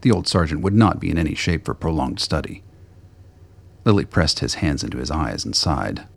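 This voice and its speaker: A man with a gravelly, husky voice reading scripted narration for a radio play. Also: very deep voice, animated, moderate pace.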